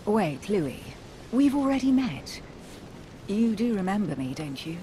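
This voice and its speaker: A woman's voice: very animated, a little slow. A woman playing a poised, flirtatious and enigmatic duchess in a video game, refined and teasing. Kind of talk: video game cutscene acting. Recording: constant rumble behind the voice.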